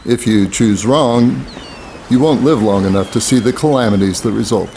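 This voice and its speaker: A man's voice: on the low side, normal speed, mellow, slight background noise, lightly acted. An actor playing a cryptic, impatient boatman in an audio drama, blunt and goading as he gives riddling counsel. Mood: grave, urgent.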